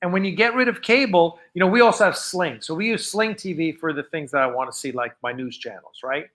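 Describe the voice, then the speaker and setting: A man with an American accent hosts a casual livestream in a high, bright voice. He talks off the cuff, rambling and halting, often letting a thought trail off before starting on the next. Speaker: host of a live fan show. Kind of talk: casual unscripted livestream chat.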